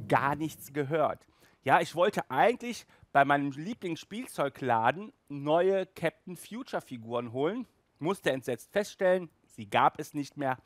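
A man in his forties hosts a collectors' show in a fairly high voice. He takes his time, leaning on words with an emphatic, enthusiastic delivery. The sound is close and dry. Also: no room sound. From Germany. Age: 40s. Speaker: collector magazine show host. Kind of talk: casual enthusiastic presenting to camera. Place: small indoor room with toy displays. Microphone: handheld microphone, later headset.

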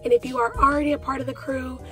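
A young woman talks straight into her phone from a parked car, chatty and familiar, calling her viewers y'all. Her voice sits a little high but sounds stuffed up and half gone from a cold. Soft music runs faintly underneath. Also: flat delivery, fast talker. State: congested with a cold. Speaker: vlogger. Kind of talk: casual vlog chat.

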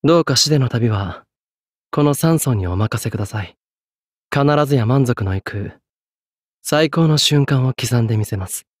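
An actor playing a solemn, courteous young executioner in a Japanese anime game, speaking in a polite, measured, grave way. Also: male voice, medium pitch, animated, no echo, clean studio sound, natural voice. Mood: moved, grateful. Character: solemn, courteous young executioner, a guilt-burdened French swordsman.

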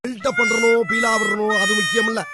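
A sly little animal schemer, swaggering as it gives advice. The voice is extremely high, with loud music all around it. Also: male voice, fairly even intonation, fairly quick. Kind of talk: comic dubbing of funny animal clips.

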